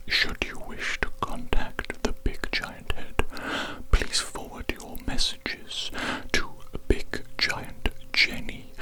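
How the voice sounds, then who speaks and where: An alien announcement system, played by an ASMR performer, delivers office notices in a whisper. It is formal and impersonal. Each phrase comes out slowly and deliberately. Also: lightly acted.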